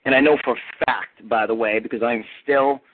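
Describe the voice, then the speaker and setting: NY business coach, 40s, pumped-up motivational delivery, phone-line audio. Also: male voice, medium pitch, normal speed, slight background noise. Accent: New York. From New York. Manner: energetic, punchy motivational delivery. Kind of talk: motivational teleclass talk.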